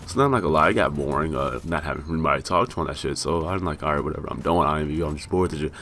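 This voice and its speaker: Young American man vlogger, raspy, low-voiced, rambling profane storyteller. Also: rapid-fire, fairly bright tone, a little hiss or hum. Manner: rambling, profane, self-mocking with laughs. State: raspy from being high. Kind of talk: casual storytelling.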